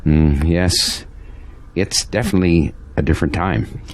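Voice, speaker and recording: A man playing a burdened, earnest pastor in an audio drama, speaking solemnly and haltingly. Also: deep voice, slight background noise. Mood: grave, wry.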